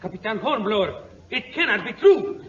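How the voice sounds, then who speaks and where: An actor playing an alarmed colonel in an old radio drama. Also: male voice, sits high, big pitch swings, moderate pace. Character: agitated Spanish colonel, alarmed and pleading. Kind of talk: 1950s radio drama acting. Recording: muffled, echoey old radio broadcast.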